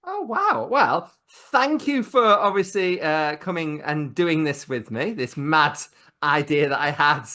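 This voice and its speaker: Guy hosting, chatty, laughs a lot, voice jumps all over, bright tone. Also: a little high, lively intonation, unhurried. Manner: chatty, laughs often, self-deprecating. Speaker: host. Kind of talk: casual video-call chat.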